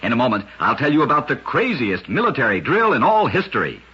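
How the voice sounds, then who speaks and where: A man narrating short scripted stories in an old-time radio style, with an emphatic showman delivery and a husky voice. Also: medium pitch, big pitch swings, fast talker, bright, forceful tone. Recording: dull, lo-fi old radio recording.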